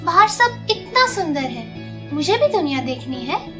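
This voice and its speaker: Sheltered young fairy-tale girl: wistful, pleading, extremely high, cartoon style. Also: very animated, measured pace, over music. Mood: sad, longing. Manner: sad, pleading.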